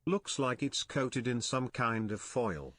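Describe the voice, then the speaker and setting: Animated synthetic text-to-speech voice. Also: mid-range voice, takes it slowly. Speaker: text-to-speech reader of viewer messages.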